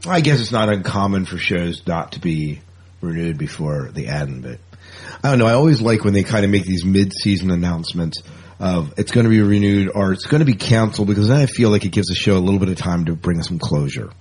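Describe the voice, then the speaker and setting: Low, slightly husky male podcast host. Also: lively intonation, a little fast, darkish tone. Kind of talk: casual sci-fi news chat.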